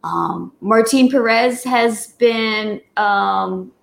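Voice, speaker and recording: Slow, hesitant woman in a roomy echo. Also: mid-range voice, creaky. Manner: hesitant, lots of fillers and restarts. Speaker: podcast guest. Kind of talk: casual podcast chat.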